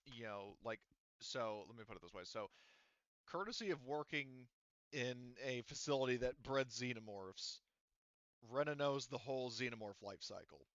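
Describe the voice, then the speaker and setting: A man's voice: medium pitch, normal speed, fairly bright tone, clear, resonant tone, no room sound. A man running a tabletop game as game master, explaining at length with many fillers and restarts. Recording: dull lo-fi sound.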